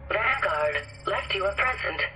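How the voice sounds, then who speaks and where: An actress playing a cool artificial intelligence system in a video game, making measured, clipped announcements. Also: female voice, medium pitch, lively intonation, a little hiss or hum, rough recording. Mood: cool, teasing. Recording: loud music over the voice.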